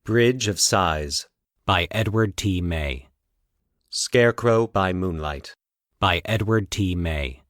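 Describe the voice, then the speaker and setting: A man's voice: low-pitched, expressive, slow, fairly bright tone, no room sound, clean studio sound. A man giving dramatic scripted narration as a podcast host and storyteller.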